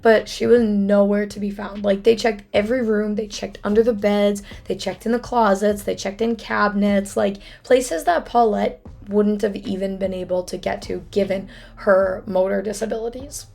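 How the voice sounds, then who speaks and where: Hoarse young woman telling a true crime case. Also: mid-range voice, a little mellow. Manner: chatty, apologetic asides. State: hoarse, froggy voice. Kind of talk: casual true crime storytelling.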